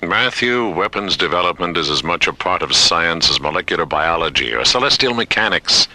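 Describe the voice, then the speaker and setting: An actor playing a stubborn, combative official, arguing insistently in a science fiction radio drama. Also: male voice, low voice, expressive, fairly quick, heavily acted, low-quality recording. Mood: argumentative. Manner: insistent, argumentative, forceful. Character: stubborn, combative male official hungry for weapons.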